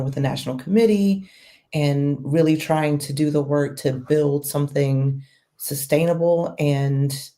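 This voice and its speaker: A woman guest on a podcast interview, talking in a measured, thoughtful way with many fillers. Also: deep voice, dark tone. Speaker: guest, political volunteer. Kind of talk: casual interview.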